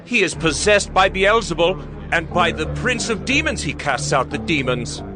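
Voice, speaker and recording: A man's voice: fairly high pitch, animated, moderate pace, lightly acted. An actor playing a hostile, scornful male scribe, declaiming accusations in a dramatized scripture reading. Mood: accusing, hostile. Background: music.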